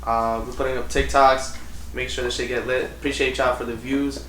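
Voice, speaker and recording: A man co-hosting a casual podcast, relaxed and asking follow-up questions. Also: medium pitch, normal speed, far from the mic. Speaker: podcast co-host. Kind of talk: casual chat. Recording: echoey room with faint noise.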